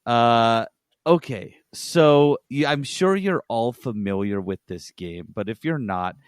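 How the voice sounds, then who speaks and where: A man's voice: medium pitch, takes it slowly, smooth, no room sound, clean studio sound. A man co-hosting a podcast, giving a casual, rambling review and often correcting himself as he goes. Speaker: podcast co-host reviewing games.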